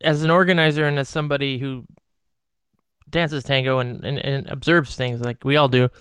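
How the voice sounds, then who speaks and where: A man's voice: medium pitch, fairly even intonation, moderate pace, a bit bright, smooth, no room sound. A man who organizes and dances tango, speaking thoughtfully in a casual group chat.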